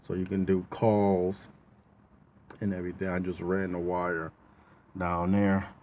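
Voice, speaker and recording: A man with a low voice walks the viewer through a do-it-yourself job. His delivery is slow and halting, with pauses as he searches for the next word. The tone is that of a casual, informal tutorial. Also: a little mellow, slight background noise. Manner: halting, pauses mid-sentence to find words. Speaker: DIY car video host. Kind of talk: casual how-to walkthrough. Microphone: handheld tablet.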